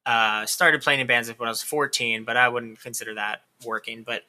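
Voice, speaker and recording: Author guest in his thirties; sharp, bright voice; open and talkative. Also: mid-range voice, fairly even intonation, normal speed. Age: 30s. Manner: candid, talkative, lots of "like" fillers. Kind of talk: casual interview chat.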